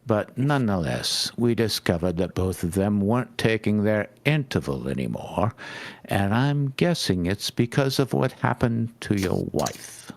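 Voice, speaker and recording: A man's voice: on the low side, very animated, unhurried, vocal fry, no room sound, exaggerated voice. A man voicing a cold, smug interrogator from a dystopian state in a comic fanfiction reading.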